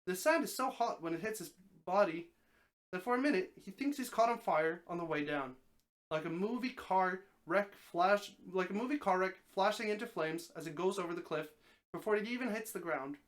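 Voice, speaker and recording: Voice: medium pitch, lively intonation, moderate pace. A person giving a live dramatic reading of a story, narrating expressively with the occasional stumble and repeated phrase. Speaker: streamer reading a story aloud.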